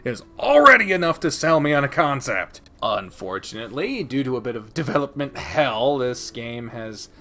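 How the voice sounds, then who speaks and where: A man's voice: mid-range voice, very animated, moderate pace, hardly any pauses, pushed and bright. A man hosting a casual countdown review of video games, rambling and informal, swearing freely and speaking with emphatic opinions. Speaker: video game reviewer and channel host. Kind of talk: casual countdown review commentary, partly scripted.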